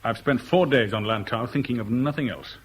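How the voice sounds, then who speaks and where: A man's voice: mid-range voice, a little fast, a little hiss or hum, lightly acted. An actor playing a terse, resolute Englishman in a 1970s radio drama, with an English accent and a clipped, serious manner. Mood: firm, businesslike. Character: respectable Englishman, terse, resolute, embittered by love. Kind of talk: 1970s British radio drama acting and first-person narration.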